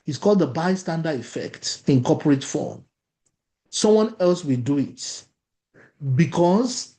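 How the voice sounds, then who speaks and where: A man's voice: on the high side, warm tone. A man with a Nigerian accent, a native Yoruba speaker, leading an online workshop and often asking the audience questions. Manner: repeats phrases, asks the audience questions. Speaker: workshop facilitator. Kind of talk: interactive online workshop talk.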